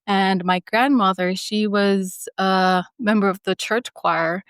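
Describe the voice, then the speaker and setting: Smooth-voiced woman from Jamaica, reminiscing. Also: medium pitch, fairly even intonation, slow, fairly bright tone, dry recording, clean studio sound. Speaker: funeral director and embalmer, guest. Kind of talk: conversational interview storytelling.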